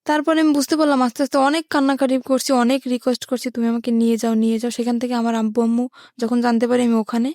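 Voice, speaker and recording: A woman's voice: on the high side, flat delivery, very fast, a little mellow, no echo, clean studio sound. A young woman with a Bangladeshi accent, telling her life story as an interview guest.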